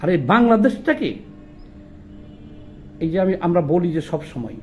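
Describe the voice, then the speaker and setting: A man's voice: high-pitched, sing-song, a little slow, a little mellow, slight background noise. A man with a Bangladeshi accent delivering an impassioned political monologue, indignant and emphatic, often putting rhetorical questions. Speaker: political commentator.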